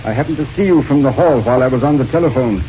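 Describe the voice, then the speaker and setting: An actor playing a shrewd older homeowner in a vintage radio drama, polite and dry with quiet menace. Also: male voice, mid-range voice, racing, natural voice. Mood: coolly triumphant. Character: older male homeowner, shrewd, coolly mocking. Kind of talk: 1940s-50s radio drama acting. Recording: dull, hissy old radio recording.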